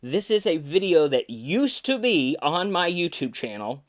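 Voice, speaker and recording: A young man from Alabama hosting a podcast, telling behind-the-scenes stories in a relaxed, rambling way with many fillers. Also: on the high side, measured pace. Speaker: podcast host, puppeteer. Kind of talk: casual recorded monologue.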